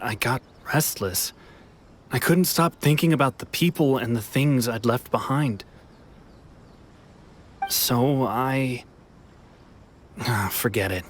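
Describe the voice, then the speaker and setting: A man's voice: medium pitch, slight background noise, natural voice. A man voicing a regretful, resigned fugitive in an anime video game, earnest and hesitant.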